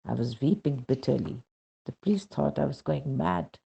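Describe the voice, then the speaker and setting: A reader narrating a devotional testimony from a script, with a husky, gravelly voice and a dramatic, emphatic, deliberately phrased delivery. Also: mid-range voice, warm tone, muffled recording.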